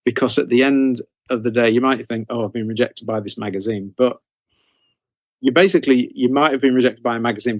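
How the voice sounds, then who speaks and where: A man's voice: medium pitch, dry recording. Man, conversational, dry close sound. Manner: rambling, repeats and rephrases phrases. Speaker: guest, horror author. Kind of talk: conversational interview answers.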